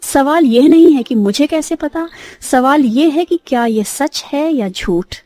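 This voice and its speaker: Woman voicing a stern high-voiced talking myna bird. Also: animated, unhurried, warm tone, no room sound, faint music behind. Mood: stern warning. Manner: stern, scolding, emphatic. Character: angry talking myna bird, righteous and accusing. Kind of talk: Hindi cartoon story dialogue.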